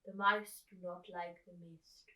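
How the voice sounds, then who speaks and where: An eccentric old man from a storybook put on by a woman, secretive and deliberate, with oddly formal phrasing. The room echoes around the voice. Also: fairly low pitch, flat delivery, sharp tone, distant mic. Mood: secretive, grave. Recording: echoey room, away from the mic.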